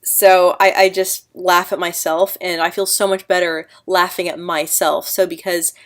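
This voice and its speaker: A woman's voice: on the low side, flat delivery, moderate pace. A young woman talking casually to camera about her own life. She stutters often, repeating sounds and blocking on words, and speaks in an earnest, candid way. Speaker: vlogger.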